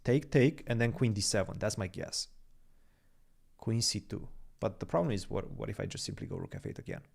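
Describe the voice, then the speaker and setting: Low gravelly-voiced man, casual chat. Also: animated, brisk, a little mellow. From Romania. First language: Romanian. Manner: chatty, answers viewer questions, thinks aloud over moves. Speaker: chess podcast co-host reading chat. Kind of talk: casual livestream chat with game commentary.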